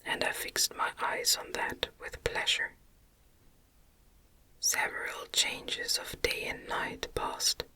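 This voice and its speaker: A woman reads a gothic novel in a gentle, soothing, breathy whisper close to the microphone, in an ASMR style. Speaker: audiobook reader.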